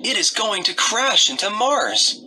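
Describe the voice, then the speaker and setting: A man narrating a read-aloud story for young children in short, expressive sentences. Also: high-pitched, unhurried, over music, poor audio.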